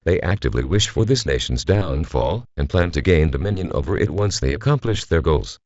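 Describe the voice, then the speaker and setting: Man acting a very low, solemn vigilante leader. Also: animated, a little fast, darkish tone, dry recording. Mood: solemn, determined. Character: solemn masked vigilante addressing the nation in crisis. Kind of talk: scripted emergency broadcast speech. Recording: dull, lo-fi broadcast sound.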